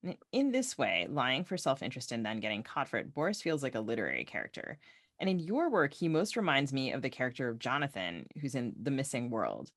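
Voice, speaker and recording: A woman's voice: extremely low pitch, very animated, a little fast, no echo, clean studio sound. A woman hosting a podcast, interviewing a guest about books in a conversational way. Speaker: podcast host.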